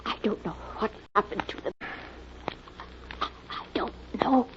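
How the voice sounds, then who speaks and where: A woman's voice: mid-range voice, slow, fairly bright tone. An actress playing a frightened, pleading wealthy woman in an old-time radio melodrama. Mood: terrified, pleading. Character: terrified, pleading wealthy woman threatened by thief. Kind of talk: 1940s radio melodrama acting. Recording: muffled, hissy old radio recording.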